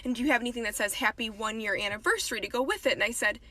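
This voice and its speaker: High, bright-voiced woman telling a storytime. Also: racing. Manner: recounts dialogue line by line. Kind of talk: casual social-media storytime.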